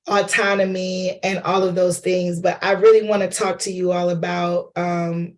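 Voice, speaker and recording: Hoarse man with a cold, slow and chatty, talking to a workshop group, flat delivery. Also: high-pitched. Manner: chatty, loose, addresses audience as y'all and honey. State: losing voice from a cold. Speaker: lecturer and playwright. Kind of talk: informal workshop talk.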